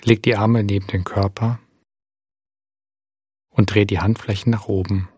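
A man guides a body scan meditation in a low, husky voice. He gives gentle, calm instructions and leaves long, unhurried pauses between them. The recording is dry and close, with no room sound. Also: dry recording.

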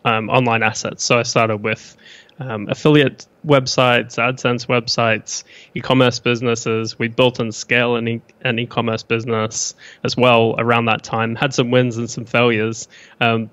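A man in his thirties speaks with an Australian accent touched with a New Zealand lilt, in a relaxed, explanatory way. His pitch barely moves, which makes him sound level and unhurried. The audio is dry, with a slightly muffled video-call quality. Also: no room sound. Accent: Australian with some New Zealand. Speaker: guest, business broker. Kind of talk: casual podcast interview.

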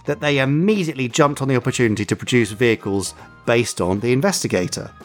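A man's voice: medium pitch, quick pace, faint music behind. A man hosting a podcast, telling trivia in a chatty, playful, bantering way. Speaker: podcast host. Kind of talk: chatty trivia segment, semi-scripted storytelling.

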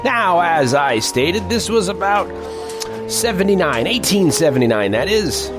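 An actor playing an older male reporter who narrates a strange frontier tale in an emphatic, deliberate storytelling manner. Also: high-pitched, sing-song. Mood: measured, mysterious. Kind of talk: dramatic scripted first-person narration. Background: music bed.

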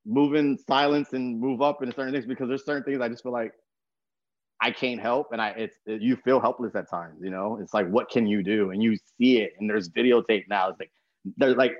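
A man's voice: medium pitch, fairly quick, no room sound. Dull-sounding young American man, slangy and rambling. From Texas, USA. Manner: informal slangy speech, rambling. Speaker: podcast host. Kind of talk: casual conversational talk.

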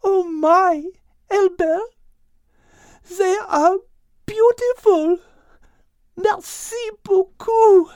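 A woman's voice: extremely high pitch, drawn-out words, long pauses, dark tone, no room sound, clean studio sound, voice pushed up, heavily acted. A woman voicing a sweet, gracious female cat, speaking in a gushing, sing-song way. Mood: joyful.

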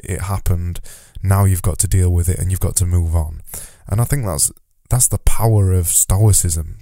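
Man, nasal from a cold, deep voice, very dark tone, solo podcast, motivational ramble. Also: no echo, clean studio sound. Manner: rambling, self-deprecating, motivational. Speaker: podcast host.

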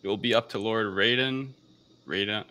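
A man with a low voice that has a very bright, forceful edge. He talks like a casual podcast host reviewing films, feeling his way through with some hesitation. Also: normal speed, a little hiss or hum. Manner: hesitant, unsure of pronunciations.

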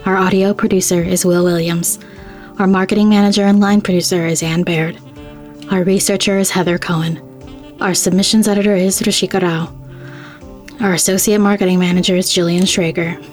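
A woman's voice: lowish voice, flat delivery, fairly quick. A woman hosting a podcast, reading scripted credits and announcements. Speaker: host. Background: faint music.

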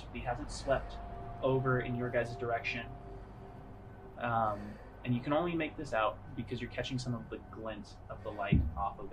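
A man working as game master, narrating scenes in a casual, halting, conversational way. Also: medium pitch, fairly bright tone, a little hiss or hum, rough recording. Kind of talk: tabletop roleplay narration. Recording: music under the voice.

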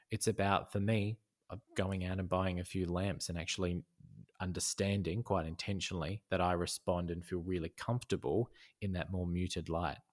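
Very low-voiced man, thoughtful and halting. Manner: thoughtful, many fillers and restarts. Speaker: podcast guest, design educator. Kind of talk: casual conversation.

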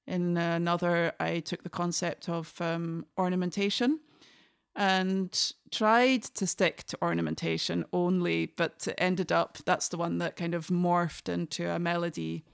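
A woman's voice: on the low side, flat delivery, a little slow, creaky, clean tone. A Scottish woman in her forties, a guest musician talking thoughtfully in a reflective conversation, often restarting her sentences. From Scotland.

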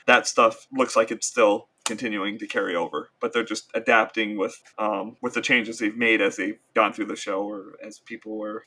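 Bright-voiced man chatting about pop culture. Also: medium pitch. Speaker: podcast co-host. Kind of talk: casual pop culture discussion.

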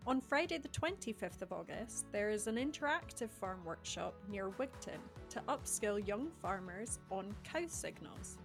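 A woman's voice: medium pitch, lively intonation, moderate pace, few pauses, music in the background. A woman hosting a podcast, reading out scripted announcements and introductions. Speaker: podcast host.

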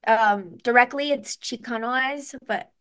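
A woman's voice: a little high, unhurried, a bit bright, smooth. A young adult woman, a singer and guest, talking casually and upbeat with lots of fillers and restarts. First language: English. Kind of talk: casual interview chat.

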